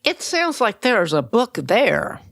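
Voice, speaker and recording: A woman's voice: fairly high pitch, very animated, slow pace, fairly bright tone, no echo, studio quality. A woman hosting a podcast interview in a casual, plainspoken, informal way.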